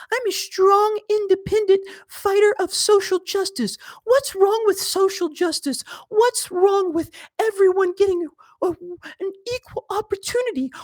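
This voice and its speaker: A man's voice: very high-pitched, no echo, pitched above the performer's own voice. A man voicing a whiny, mock-indignant male social justice warrior parody on a live stream.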